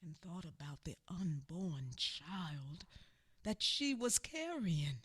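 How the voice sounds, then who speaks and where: A woman's voice: lowish voice, expressive, slow pace, a bit bright, husky. A woman narrating a radio theater play in a mournful, theatrical way, drawing out her phrases. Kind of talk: dramatic scripted narration.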